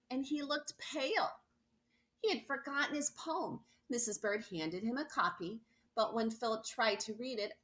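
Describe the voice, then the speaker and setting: A woman reads a picture book aloud in a bright, clear-cut storytelling voice at a middle pitch. She stresses words expressively and speaks directly to young listeners. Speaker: reader of a children's picture book.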